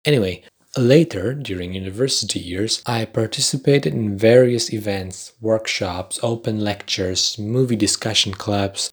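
A young man from Poland with a Polish accent, hosting a podcast in a measured, scripted reflective style with dry understated humour. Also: fairly low pitch, slightly rough. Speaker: podcast host. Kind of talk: scripted reflective narration with commentary.

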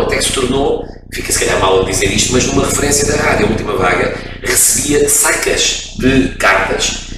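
A middle-aged radio man with a European Portuguese accent tells long stories in a relaxed interview, pausing often to gather his thoughts. His voice bounces around a very echoey room and sounds set back from the microphone. A clear hum of background noise sits under his speech. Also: medium pitch, recorded from a distance. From France. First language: French. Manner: expansive storyteller, frequent hesitations. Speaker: radio broadcaster, interview guest. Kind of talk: casual interview, reminiscing.

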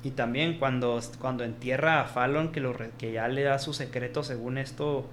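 A man's voice: mid-range voice, moderate pace, a little hiss or hum. A man with a Mexican accent in a casual film discussion, retelling scenes and acting out the characters' quoted lines. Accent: Mexican Spanish. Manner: animated storytelling, acts out quoted dialogue, frequent restarts.

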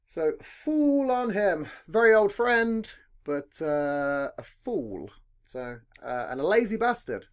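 Male podcast host, deep heavy voice, lively swooping pitch, relaxed rambling delivery with casual swearing. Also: slow. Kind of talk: casual chat.

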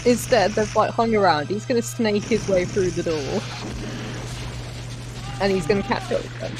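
A woman's voice: medium pitch, normal speed, music in the background, poor audio. A woman podcast host giving casual, wry, mocking commentary on a film as it plays. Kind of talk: casual movie reaction commentary. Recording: film soundtrack playing behind.

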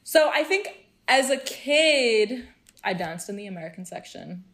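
A young woman from Hong Kong talking in a casual group chat, outspoken and animated, laughing often. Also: a little high, unhurried.

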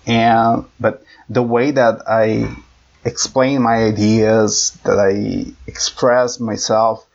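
Slow gravelly Brazilian speaker. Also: male voice, fairly low pitch, little pitch movement, muffled recording. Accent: Brazilian Portuguese. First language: Portuguese. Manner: halting, searches for words. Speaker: conversation partner. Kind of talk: casual chat.

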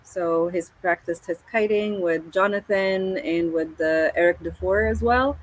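Guest: woman in her thirties, lowish voice, unhurried, hesitant delivery full of fillers. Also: low-quality recording. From Egypt. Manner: hesitant, many ums and restarts. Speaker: guest, paramotor pilot. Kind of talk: casual video-call interview.

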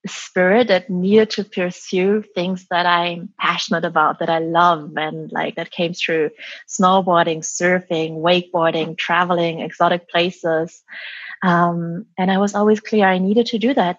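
A woman guest with a breathy voice who talks reflectively, full of "like" and "um", about her own path. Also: lowish voice, fairly even intonation, measured pace, dry recording, highs cut off. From Germany and Taiwan. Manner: reflective, many "like" and "um" fillers. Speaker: guest, former business consultant. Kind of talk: reflective interview answers.

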